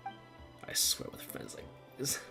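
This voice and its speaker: A woman's voice: slow pace, over music. A woman game streamer giving casual, sweary live reactions in a blunt, teasing, exclamatory way.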